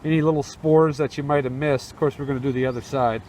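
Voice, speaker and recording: A man's voice: mid-range voice, fairly even intonation, fast, a little mellow. A man giving a casual, easygoing step-by-step tutorial through a face mask, recorded outdoors on a rooftop. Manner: informal, easygoing step-by-step talk. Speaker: host demonstrating a how-to restoration. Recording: noisy outdoor camera audio.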